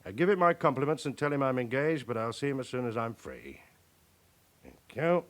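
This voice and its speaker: Senior british cop, mid-pitched voice, dry and official, measured, radio play. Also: male voice, very animated, a bit bright. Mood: dry, formal. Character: senior police chief inspector, dryly authoritative. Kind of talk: radio crime drama acting.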